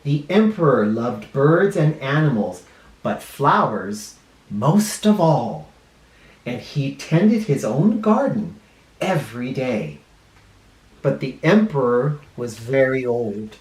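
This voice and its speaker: A male teacher reading a picture book aloud to children expressively, pausing dramatically and explaining words in simple terms. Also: medium pitch, very animated, darkish tone. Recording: roomy, echoing room sound.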